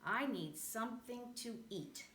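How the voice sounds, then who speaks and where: Woman as a whiny little bug, bright-voiced. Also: fairly high pitch, expressive, takes it slowly, recorded from a distance, full character voice. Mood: hungry, demanding. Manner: complaining, demanding. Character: tiny whiny demanding worry bug, storybook pest. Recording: roomy echo, faint background noise.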